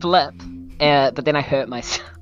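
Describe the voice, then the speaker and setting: A woman's voice: very deep voice, moderate pace. A woman with a New Zealand accent chatting casually and jokingly as a player in a tabletop game. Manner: playful, joking. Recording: dull sound with clear music behind. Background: background music.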